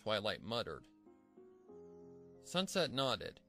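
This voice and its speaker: A man's voice: fairly low pitch, huge pitch swings, slow, sharp tone, soft background music. A man narrating a scripted fan fiction story as a storybook narrator.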